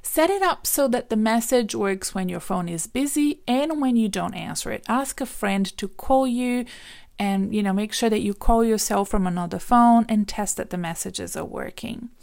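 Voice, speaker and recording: A woman career coach giving direct, practical advice in a casual monologue, with a voice she calls squeaky. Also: moderate pace, gravelly, a little mellow. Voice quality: says she has a squeaky voice.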